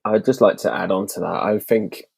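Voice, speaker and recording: A man's voice: low voice, brisk, vocal fry, slightly rough, no echo. A man speaking as a podcast guest in casual discussion, earnest in manner. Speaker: podcast guest, motorsport editor.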